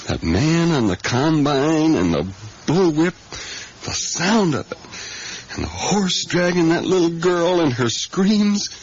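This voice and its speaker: A man's voice: on the high side, very animated, slow pace, husky, full character voice. Troubled, intense man in radio drama. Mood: haunted. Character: troubled, obsessive male diner worker haunted by memories. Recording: dull, noisy 1980s radio broadcast.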